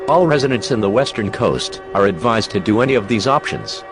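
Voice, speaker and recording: Guy playing an emergency alert announcer, official and urgent, lively pitch swings, music behind. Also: fairly quick, natural voice. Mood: stern warning. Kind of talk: scripted emergency broadcast.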